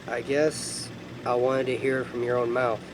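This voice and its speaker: A man's voice: mid-range voice, level tone, moderate pace, background noise, close to an everyday voice. A man acting as God, a heartbroken, loving father with a sorrowful, reflective manner in film dialogue. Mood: weary, probing.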